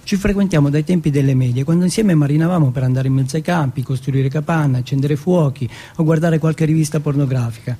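A man with a husky voice, performing a theatrical autobiographical monologue. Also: on the high side, quick pace, few pauses, dark tone. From Turin, Italy.

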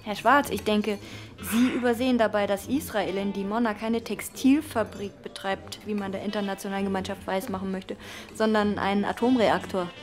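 A woman's voice: medium pitch, fairly even intonation, normal speed, faint music behind, close to an everyday voice. An actress playing a young woman scientist who challenges a guest at dinner. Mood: pointed. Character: Miss Löwenberger, poised woman scientist.

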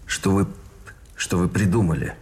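Radio drama lieutenant male actor: condemned, wry and indignant, biting delivery in a fairly low register. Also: normal speed, darkish tone, slight background noise, close to an everyday voice. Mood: shocked. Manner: sardonic, indignant. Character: wry, bitter young lieutenant, a condemned prisoner with a conscience. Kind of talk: Russian radio drama acting. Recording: studio radio play with faint music behind.

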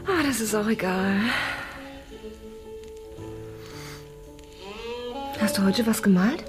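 Radio drama: woman as emotional, questioning girlfriend, very expressive mid-range voice. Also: medium pitch, brisk, close to an everyday voice. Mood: light. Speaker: actor. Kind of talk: German radio drama acting. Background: music.

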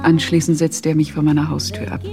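An actress playing a composed, quietly assertive woman, a married man's lover, in a radio drama. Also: sits low, monotone, fairly quick. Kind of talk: German radio drama acting. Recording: plucked guitar music under voice. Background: guitar music.

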